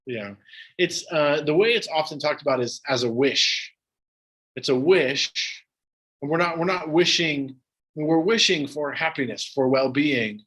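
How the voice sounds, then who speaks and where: A man leads a relaxed question session as a meditation teacher. His pitch sits in an ordinary middle range, and he talks in a casual, conversational way with plenty of fillers. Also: rough recording. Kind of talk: dharma talk with audience questions.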